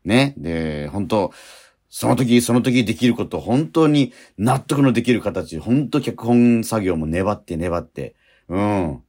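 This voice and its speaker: A man's voice: lowish voice, lively intonation, unhurried, a little mellow. A man hosting a radio show, talking casually yet politely and earnestly as he wraps up.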